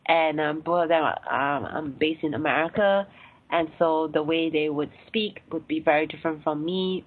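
A woman's voice: deep voice, normal speed. A woman with a Singaporean accent hosting her podcast and chatting through past episodes with many fillers. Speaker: podcast host and sex coach. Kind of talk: solo podcast episode recap. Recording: tinny phone-like line.

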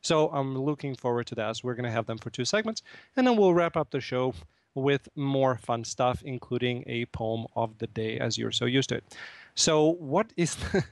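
A man's voice: fairly high pitch, no echo. A man from Russia hosting a live radio talk show from a studio, chatting playfully with many fillers and laughing at himself.

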